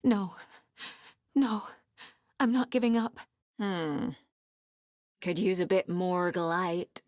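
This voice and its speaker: Low-voiced, determined woman detective. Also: slow, a little mellow, no echo, clean studio sound. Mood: defiant. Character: determined female detective and mother, sharp and guarded. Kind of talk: video game voice acting, naturalistic.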